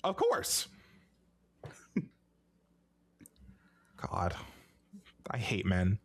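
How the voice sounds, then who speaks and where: A man's voice: low-pitched, wildly swooping pitch, drawn-out words. A man co-hosting a podcast in casual, playful chat, joking and laughing often. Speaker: podcast co-host.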